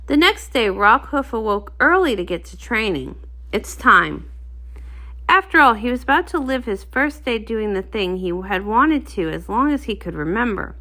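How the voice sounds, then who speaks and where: Female storybook narrator, expressive scripted read, fairly high voice with lively intonation. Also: normal speed.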